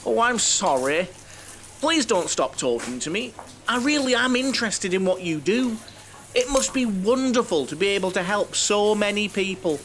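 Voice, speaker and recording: A lonely middle-aged Northern English man, played by an actor in a radio comedy-drama, with very lively, swinging intonation. He is naive and earnest, rambling bluntly into oversharing. Some ambient noise sits behind him. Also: high-pitched, moderate pace. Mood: earnest, pleading. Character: naive, lonely, sex-obsessed, chatty middle-aged man grieving his mother. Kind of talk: audio play acting.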